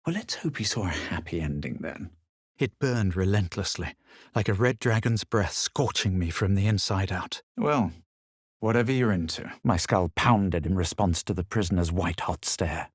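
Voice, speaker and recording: A man voicing a haughty, self-assured young fantasy adventurer for a video game, with measured, dramatic delivery. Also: low voice, husky, no room sound, studio quality. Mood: measured, weary. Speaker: player character in a fantasy role-playing game. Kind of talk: video game voice acting, literary narration and exclamations.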